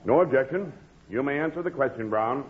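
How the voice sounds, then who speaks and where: An actor playing a stern, exasperated prosecutor, questioning formally and insistently in a 1940s radio sitcom. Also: male voice, mid-range voice, lively intonation, brisk, natural voice. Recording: noisy, tinny old radio recording.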